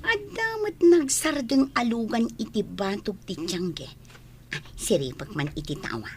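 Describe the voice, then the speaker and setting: A woman acting as a pushy, vain and flirtatious elder sister in a radio comedy drama, excitable and wheedling. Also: fairly high pitch, unhurried, slight background noise. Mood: excited, vain.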